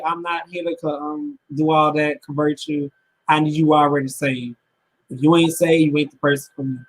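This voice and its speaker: A woman's voice: medium pitch, fairly bright tone, low-quality recording. A woman in her twenties, a podcast co-host, giving blunt, emphatic opinions in slangy casual chat. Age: 20s.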